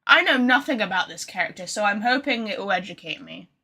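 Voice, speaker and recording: Bright-voiced woman livestreaming a game. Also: medium pitch, animated, fairly quick, breathy edge. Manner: chatty, informal, thinks aloud. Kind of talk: casual livestream chat with viewers. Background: game music.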